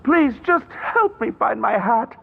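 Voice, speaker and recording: A man's voice: very high-pitched, big pitch swings, measured pace, creaky, phone-quality sound, exaggerated voice. A man voicing a shy, polite young man who hesitantly asks for help finding his hat, in a video game.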